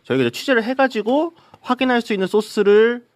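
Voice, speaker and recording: A man's voice: extremely high pitch, sing-song, quick pace, no room sound, clean studio sound. A man, a reporter guest on a talk show, explaining earnestly and building emphasis as he goes.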